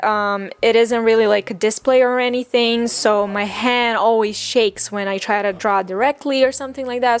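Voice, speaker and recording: A woman of twenty from Serbia, a native Serbian speaker talking in English with a Serbian accent, hosting a casual solo podcast in a reflective way with many fillers and restarts. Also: medium pitch. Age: 20s. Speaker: podcast host.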